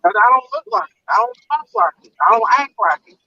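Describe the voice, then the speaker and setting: High-pitched Southern-accented man, gravelly and lively. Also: fast talker. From Puerto Rico. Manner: storytelling, laughs often, stammers on restarts. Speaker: truck driver on a livestream. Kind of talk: casual video chat. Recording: dull lo-fi sound.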